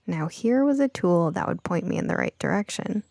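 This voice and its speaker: A woman reading scripted first-person story narration for an audiobook in an expressive storytelling manner. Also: on the low side, brisk, vocal fry, no room sound, studio quality.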